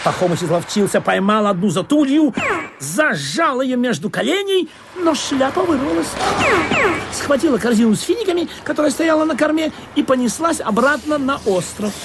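A man giving expressive, dramatic first-person narration in a theatrical radio play. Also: extremely high pitch, moderate pace, heavy background noise. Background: music.

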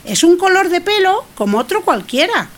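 A woman's voice: very high-pitched, big pitch swings, moderate pace. A woman playing an affectionate, reassuring mother in an audio drama. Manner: coaxing, reassuring. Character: affectionate, dismissive mother reassuring her child.

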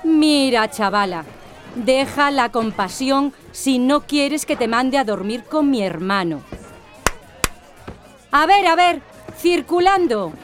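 A woman's voice: high-pitched, expressive, slow, music in the background. An actress playing a harsh, bullying female brothel boss who gives orders with contempt in a radio drama. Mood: bossy, contemptuous.

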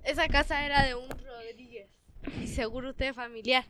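High-voiced Argentine woman improvising. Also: steady tone, moderate pace. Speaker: improv theatre student. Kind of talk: improvised acting exercise. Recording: faint background noise.

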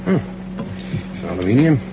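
A man acting in a Dutch science-fiction radio drama as a calm surgeon, muttering in a businesslike way as he works. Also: medium pitch, normal speed, dull audio. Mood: calm, focused. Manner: businesslike, muttering while working. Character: calm, brisk male surgeon at work. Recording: very noisy old radio drama recording.

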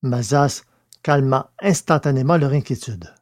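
A male narrator reads a science-fiction serial. His voice is mid-pitched, and his delivery is measured and literary, like scripted storytelling. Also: a little mellow.

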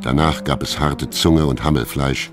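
A man narrating a German literary radio play in a measured, sober, detached storytelling manner. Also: rumbling low, steady tone. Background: music.

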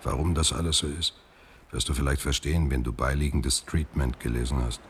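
A man acting a proud, washed-up middle-aged actor in a German radio drama, speaking in a measured, deliberate way. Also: rumbling low, a bit bright, slight background noise. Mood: reflective, composed.

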